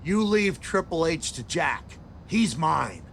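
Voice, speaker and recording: A man's voice: fairly high pitch, very animated, takes it slowly, vocal fry, a bit bright, a little hiss or hum, exaggerated voice. A man playing an eccentric, folksy and menacing old-school hardcore wrestler in a video game cutscene. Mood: goading.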